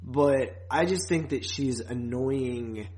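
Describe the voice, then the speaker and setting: A man's voice: medium pitch, slow pace, darkish tone. Male podcast co-host, casual and conversational, blunt, rambling, a bit profane. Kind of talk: casual podcast chat recapping and reviewing.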